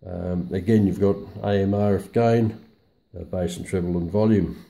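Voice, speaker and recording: A man's voice: deep voice, steady tone, normal speed, creaky, dark tone. A man presenting a casual, unscripted product walkthrough in a hesitant, matter-of-fact way. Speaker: presenter of a radio review video.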